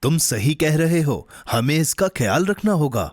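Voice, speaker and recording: An actor voicing a sensible, caring, cautious companion in a cartoon dub, expressive and gently admonishing. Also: medium pitch, moderate pace, dry recording, clean studio sound. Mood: worried. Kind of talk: cartoon dubbing. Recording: music bed under the voice.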